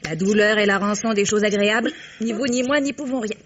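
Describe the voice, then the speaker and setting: An actress plays a brisk masseuse with a dry, sardonic tongue. She teases with world-weary irony, as a woman wounded in love. The style is 1950s French radio drama, on a dull recording with light background noise. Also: medium pitch, close to an everyday voice. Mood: brisk, teasing.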